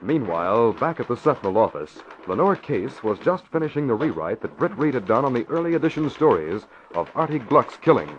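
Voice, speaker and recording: A man's voice: medium pitch, sing-song, brisk, hardly any pauses, a little hiss or hum. A man giving scripted narration for an old-time radio drama. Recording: muffled old radio with music. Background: music.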